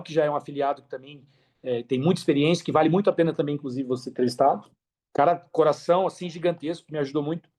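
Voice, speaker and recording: A Brazilian man with a fairly high voice speaks as a guest in a casual interview. His delivery is energetic and enthusiastic, full of slang and conversational turns. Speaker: podcast guest, affiliate marketer. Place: remote video call.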